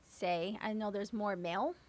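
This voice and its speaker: A woman from the West Coast with a raspy voice, answering interview questions casually with lots of "you know.". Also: mid-range voice, unhurried. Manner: relaxed, many fillers like "you know". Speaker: guest hip hop artist.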